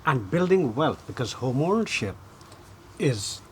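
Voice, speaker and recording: Host read: middle-aged man, mid-pitched, guides guest with tag questions, slight studio noise. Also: very animated, unhurried. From Guyana. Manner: leading, prompts agreement with "right?" and "correct?". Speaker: talk show host. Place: TV studio set, seated at small table.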